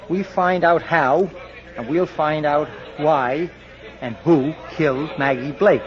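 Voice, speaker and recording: Tough take-charge detective, wry, slightly raised pitch, dulled vintage radio crime sound. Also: male voice, takes it slowly, music in the background. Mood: wry, determined. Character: confident, wry, tough male police detective. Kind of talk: old-time radio crime drama acting. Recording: muffled old radio recording.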